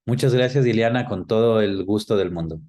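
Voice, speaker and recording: A man's voice: fairly low pitch, brisk, dark tone. A man speaking conversationally as an interview guest, thoughtfully searching for words and rephrasing as he goes.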